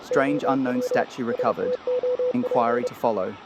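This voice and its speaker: An actor playing a dutiful radio operator in an audio drama, relaying reports in clipped, factual phrases. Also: male voice, mid-range voice, moderate pace, lightly acted. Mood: brisk, official. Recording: very noisy radio-room effects.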